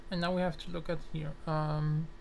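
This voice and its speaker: A man thinking aloud through a technical walkthrough, halting and pausing mid-sentence as he reasons. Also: fairly high pitch, level tone, creaky, a little hiss or hum. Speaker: electronics hobbyist presenter.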